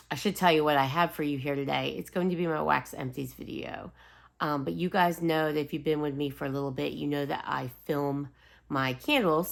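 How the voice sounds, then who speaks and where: A woman vlogger chatting casually and rambling apologetically, slightly raspy and sniffly as she gets over a cold. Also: low voice. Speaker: host of a home fragrance video channel. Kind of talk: casual rambling vlog chat.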